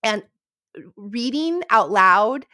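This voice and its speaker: A woman's voice: a little high, expressive, drawn-out words, bright, forceful tone, no echo, studio quality. A woman speaking as an educator guest in an interview, giving encouraging, reassuring advice in a conversational way.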